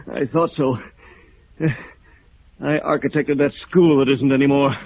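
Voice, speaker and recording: A beaten, dazed American architect in an old radio play. He speaks haltingly at a middling pitch, with weary, bitter irony. The sound is narrow and tinny, like a vintage broadcast. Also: fairly even intonation. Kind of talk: 1950s American radio drama acting. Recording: muffled narrow-band vintage radio recording.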